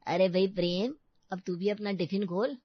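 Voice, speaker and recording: A woman voicing a boisterous male office colleague who teases his friend, in an animated story. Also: medium pitch, moderate pace, breathy edge, no room sound, dull audio, lightly acted. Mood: hearty. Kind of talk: Hindi animated family story.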